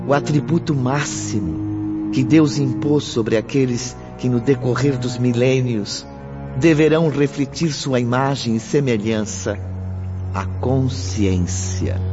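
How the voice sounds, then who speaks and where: A male narrator tells a radio drama slowly and solemnly. His voice sits at a middle pitch over clear background music. The recording sounds dull. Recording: dull audio with music underneath.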